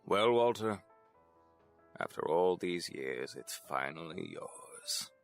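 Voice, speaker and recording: Man doing a smug older boardroom snake, low voice, swoopy and theatrical, audio drama. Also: big pitch swings, slow, vocal fry, over loud music, full character voice. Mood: gloating. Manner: pompous, dry, theatrical. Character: smug, sardonic older male corporate executive.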